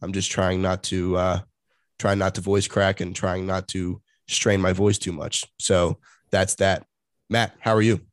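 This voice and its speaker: A congested man co-hosts a casual sports podcast. His voice sits low and barely moves in pitch. The sound is dry, with no room reflections. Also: unhurried, no room sound. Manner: monotonous tone. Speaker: podcast co-host.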